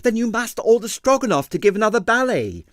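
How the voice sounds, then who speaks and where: Impulsive young dancer girl performed by a male reader, high, anxious and imploring, for a storybook. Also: big pitch swings, brisk, fairly bright tone, no room sound, studio quality. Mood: anxious insistence. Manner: impatient, pleading, anxious. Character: pleading young ballerina, impulsive and emotional. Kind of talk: audiobook character voice.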